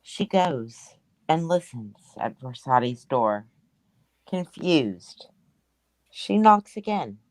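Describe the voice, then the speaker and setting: A woman's voice: very deep voice, sing-song, extremely slow, no echo, rough recording. A woman reading stage directions as scripted narration at a play table read.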